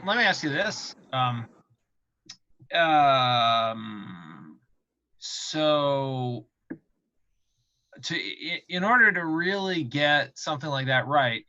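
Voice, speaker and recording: A man's voice: mid-range voice, bright, forceful tone, no echo, muffled recording. A man hosting a casual unscripted interview, feeling his way into questions and rephrasing them as he goes. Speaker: host interviewing a colleague.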